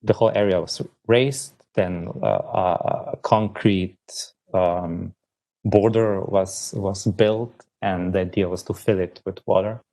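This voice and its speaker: A man in his thirties from Slovenia speaks in a low, gravelly voice with a dark tone. He answers slowly and thoughtfully, pausing often and restarting his sentences as he thinks aloud. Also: steady tone. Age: 30s. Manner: halting, thinks aloud with many ums and restarts. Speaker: poet guest. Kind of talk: reflective interview answers.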